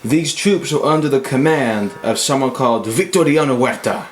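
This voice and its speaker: A man's voice: medium pitch, moderate pace, faint music behind. A man working as narrator, reading a scripted amateur history account. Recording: echoey room with faint noise.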